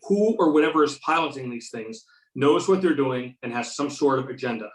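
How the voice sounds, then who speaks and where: A man's voice: medium pitch, animated, fairly quick, lightly acted. A man playing an earnest, argumentative agent who believes in UFOs, reading in an amateur readers theater over a video call. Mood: convinced. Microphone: video or conference call. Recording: roomy, distant video-conference microphone.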